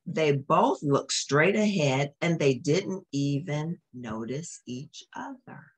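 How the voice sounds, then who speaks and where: Female storyteller for a children's picture book: husky, lowish voice, expressive read with friendly asides to young listeners. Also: takes it slowly.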